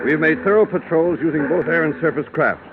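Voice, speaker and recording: A man's voice: fairly high pitch, a little fast, lightly acted. A male actor playing a formal Coast Guard lieutenant giving an official report in a radio drama. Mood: official, matter-of-fact. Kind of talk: old-time radio acting, formal report. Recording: phone-like, noisy old radio recording.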